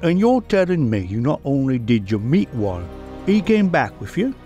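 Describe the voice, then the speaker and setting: A man's voice: mid-range voice, very animated, normal speed, mellow, highs cut off, soft background music. A man role-playing in character during a tabletop game.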